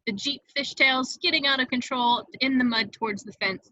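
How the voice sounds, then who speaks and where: A woman narrates stage directions for a script read with vivid, dramatic storytelling. Her voice is fairly high and very bright. The recording is close and dry. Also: normal speed, no room sound, dull audio.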